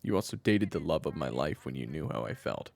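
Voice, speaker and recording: A man's voice: rumbling low, sing-song, brisk, creaky, no echo, studio quality, heavily acted. A man playing a weary, protective family man with a criminal past in an audio drama, both narrating and speaking in a hesitant way. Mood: tense, frustrated. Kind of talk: audio roleplay acting with first-person narration.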